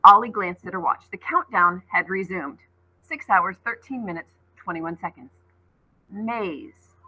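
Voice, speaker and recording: A woman teacher reading a novel aloud to students, expressive, stopping for short explanatory asides. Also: fairly low pitch, measured pace, breathy edge, low-quality recording. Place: school classroom.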